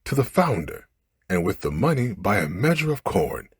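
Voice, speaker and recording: A man reads out the part of a poor storybook fisherman, full of lament and appeal. The voice is very breathy and husky, with big rises and falls. The speech is archaic and dramatic, recorded close and dry. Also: sits low, very animated, moderate pace, no echo, exaggerated voice. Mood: pleased. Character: poor fisherman, lamenting and pleading, bold when startled. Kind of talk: storybook character read aloud.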